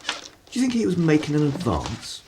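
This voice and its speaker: An actor playing a cynical, irreverent 1930s English broadcaster in a radio play, with a husky voice and sardonic, theatrical turns of phrase. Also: male voice, on the high side, wildly swooping pitch, normal speed, darkish tone, a little hiss or hum. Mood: mocking, theatrical.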